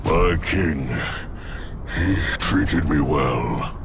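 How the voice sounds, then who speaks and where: An actor playing a gruff, loyal old warrior who served a king, speaking solemnly and boasting in a game cutscene. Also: very deep voice, expressive, very slow, heavy background noise, heavily acted. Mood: grieving solemn.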